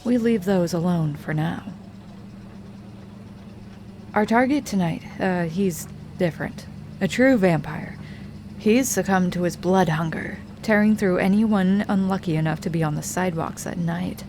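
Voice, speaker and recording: A woman voicing a hardened female vampire hunter who bosses a rookie around in an audio roleplay, speaking in a low, commanding, teasing way that often sinks to a hush. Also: moderate pace, a little hiss or hum, close to an everyday voice. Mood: cool briefing. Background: faint ambient soundscape.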